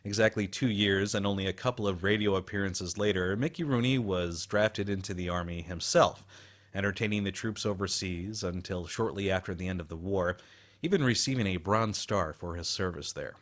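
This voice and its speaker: Male host for radio documentary narration, lower register, scripted read. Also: moderate pace.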